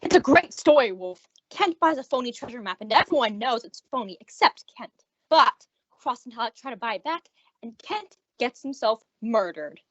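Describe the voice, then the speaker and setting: A young woman voicing a gruff, skeptical male police inspector in an amateur radio play, blunt and sarcastic. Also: fairly high pitch, lively intonation, moderate pace, a bit bright. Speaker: high school drama student. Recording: muffled lo-fi recording.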